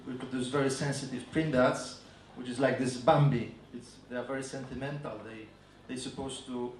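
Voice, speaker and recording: A man's voice: mid-range voice, a little hiss or hum. Hesitant Polish man in an echoey hall. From Poland. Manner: hesitant, halting, frequent fillers and restarts. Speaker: advertising agency speaker. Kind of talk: conference talk. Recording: echoey room from a distance.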